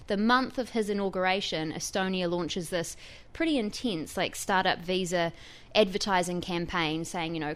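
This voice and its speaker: A woman with a New Zealand accent, a tech reporter explaining her story as a guest in a radio interview, fluent and lively. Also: mid-range voice.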